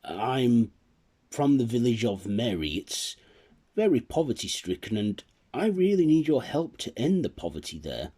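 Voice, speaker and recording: A man's voice: medium pitch, very animated, measured pace, mellow, husky, pitched above the performer's own voice. A man voicing a jolly, generous man who helps his poor village and laughs "ho, ho", speaking eagerly and heartily.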